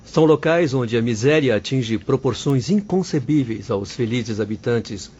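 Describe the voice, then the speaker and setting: A man's voice: mid-range voice, expressive, normal speed, darkish tone, breathy edge. Man as earnest spirit doctor, muffled. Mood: grave, explanatory. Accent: Brazilian. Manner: formal, didactic. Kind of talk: audio drama acting. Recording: muffled, faint noise.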